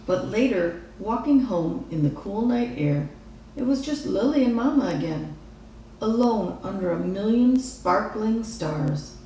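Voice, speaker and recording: A woman reading a children's story aloud in an expressive narrating style. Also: mid-range voice, measured pace. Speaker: storybook reader. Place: reverberant room, away from the mic. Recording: echoey room, distant mic, faint noise.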